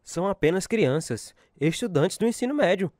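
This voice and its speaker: Stern, high-pitched commanding father. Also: expressive, normal speed, a little mellow, dry recording, clean studio sound. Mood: firm, indignant. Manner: commanding, curt. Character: stern, gruff middle-aged military father, hard-nosed. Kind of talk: radio drama acting.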